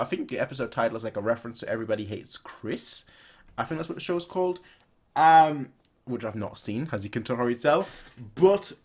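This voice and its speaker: A man in his twenties giving casual, off-the-cuff reaction commentary in an animated, rambling way, repeating phrases for emphasis. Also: mid-range voice. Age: 20s. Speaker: reaction video host. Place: small room at home, indoors.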